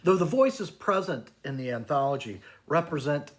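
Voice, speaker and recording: A man's voice: fairly high pitch, lively intonation, normal speed. A man speaking as an academic program director, presenting a formal, prepared introduction.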